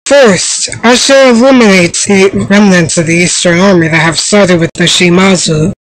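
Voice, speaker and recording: A woman's voice: normal speed, close to an everyday voice. A woman voicing a proud, stern young samurai warrior woman for a video game, with formal, haughty delivery on an amateur microphone. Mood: resolute. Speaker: amateur voice actor. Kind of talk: video game character voice reel. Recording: low-quality amateur microphone.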